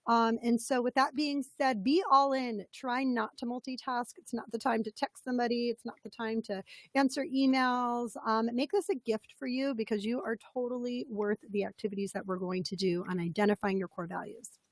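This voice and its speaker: A woman with a slightly scratchy, fairly high voice leads a webinar as a coach. She talks in an upbeat, chatty way and greets people by name. The sound is dry and close. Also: fairly even intonation, moderate pace, no room sound. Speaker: business coach presenting a webinar. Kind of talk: interactive webinar talk.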